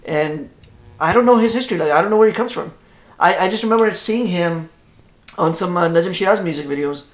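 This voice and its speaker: A man's voice: sits high, brisk. A man from Pakistan hosting a podcast, asking questions and praising musicians with enthusiasm. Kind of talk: casual podcast chat.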